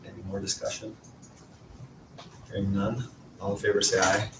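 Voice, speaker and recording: A man's voice: lowish voice, level tone, moderate pace. A man chairing a board meeting, reading out motions and items in a formal procedural style. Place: indoor meeting room. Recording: echoey room, distant mic, faint noise.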